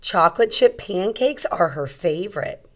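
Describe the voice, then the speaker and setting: A woman's voice: fairly low pitch, animated, moderate pace, close to an everyday voice. A woman voicing a caring little girl who talks to her puppy in a storybook, with emphatic read-aloud delivery. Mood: cheerful, eager.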